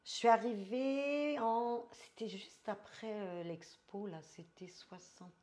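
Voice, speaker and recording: Slow-spoken grandmother from France. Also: medium pitch, darkish tone. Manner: slow, thoughtful, mixing in English phrases. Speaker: interview guest. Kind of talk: reflective life story.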